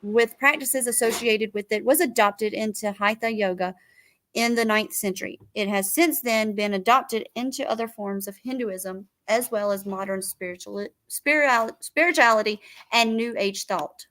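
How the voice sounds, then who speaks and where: A woman's voice: mid-range voice, normal speed, a bit bright. A woman hosting an online panel, reading a text aloud and stumbling over unfamiliar terms. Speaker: show host.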